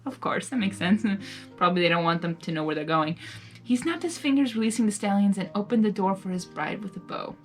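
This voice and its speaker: A woman reading fan fiction aloud as an amateur, excitable and giggly, mixing narration with casual reactions. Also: mid-range voice, a little fast. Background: music.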